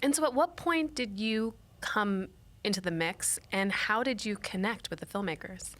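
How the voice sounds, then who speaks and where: A woman's voice: medium pitch, normal speed, fairly bright tone. A woman hosting a studio interview, asking curious, probing follow-up questions. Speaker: show host.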